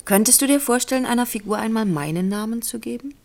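An actress in a radio drama playing a self-doubting, wistful film star with a hushed, questioning manner. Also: female voice, a little high, quick pace, gravelly. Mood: intimate, wistful. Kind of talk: intimate radio drama acting.

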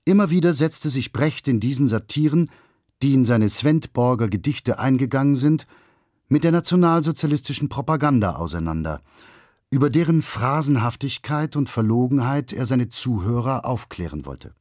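A man narrates a scripted documentary in a mid-pitched voice. He keeps it factual and formal, recorded in a very dry studio. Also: lively intonation, normal speed, darkish tone, no room sound.

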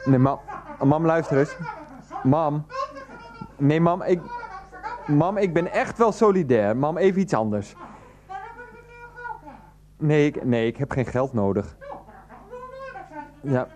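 A man's voice: fairly high pitch, expressive, slow pace, long pauses. An actor playing an earnest, flustered man in a radio play, pleading and placating in hesitant, repetitive phrases. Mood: placating, exasperated. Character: earnest, flustered adult son and partner, placatingly arguing. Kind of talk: 1990s radio play acting.

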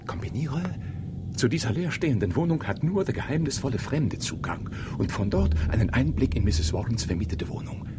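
An actor playing a brisk, self-assured Victorian detective in a German radio drama, commanding and deliberately explanatory. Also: male voice, medium pitch, sing-song, background noise, close to an everyday voice.

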